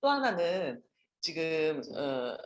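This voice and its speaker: A woman panelist giving discussion remarks, quick and lively, pausing to rephrase. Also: deep voice, reverberant, highs cut off.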